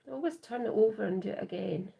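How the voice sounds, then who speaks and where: A Scottish woman hosting a live crafting demo, chatting softly to viewers and often trailing into whispers as she works. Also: mid-range voice, quick pace, creaky, slightly rough, poor audio. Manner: soft, often trailing into whispers while working. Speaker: craft livestream host.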